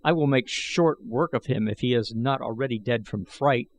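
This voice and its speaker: A man's voice: medium pitch, moderate pace, close to an everyday voice. A man voicing a bold, threatening warrior in terse, formal, demanding speech, as an audiobook character. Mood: stern.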